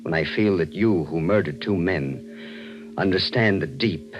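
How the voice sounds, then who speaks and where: An actor playing a sinister, obsessed and menacingly polite murderer in a 1940s radio mystery, speaking formally and deliberately. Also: male voice, sits low, over music. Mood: menacing, obsessive. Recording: dull old radio recording.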